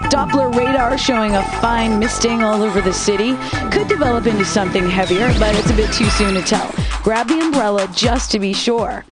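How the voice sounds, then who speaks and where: A wry, playful female rock DJ played by a woman rattles off scripted station lines. Her voice sits fairly high. Loud rock music plays behind her. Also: fairly quick, close to an everyday voice. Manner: wry, playful announcer delivery. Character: breezy female rock radio DJ. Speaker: radio DJ. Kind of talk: scripted game radio lines.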